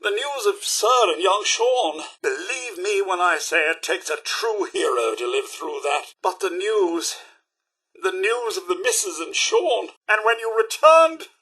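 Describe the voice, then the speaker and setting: A man's voice: high-pitched, lively intonation, unhurried, pushed and bright, husky, full character voice, rough recording. A man voicing a fussy, devoted robot butler in a video game, with a British accent and a prim, courteous, theatrical delivery. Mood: emotional, earnest. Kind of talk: scripted video game companion dialogue.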